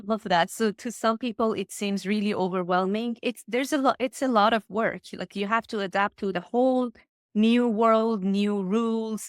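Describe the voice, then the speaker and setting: A woman's voice: medium pitch, smooth, no echo, studio quality. A woman from Iran, speaking English with slightly phrasing, talking earnestly and enthusiastically as a podcast guest and coach. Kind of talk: casual podcast interview.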